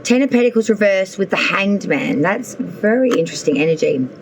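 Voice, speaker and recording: A woman's voice: mid-range voice, expressive, fairly quick, slight background noise, rough recording. A woman doing a casual tarot reading, chatty and thinking aloud as she draws cards, with an offhand swear now and then. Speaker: tarot reader.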